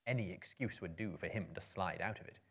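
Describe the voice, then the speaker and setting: A man in his thirties reading a story aloud dramatically, in a theatrical, expressive storyteller's manner. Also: low voice, brisk. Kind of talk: dramatic book reading to a live audience. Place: indoor room with bookshelves, small live audience.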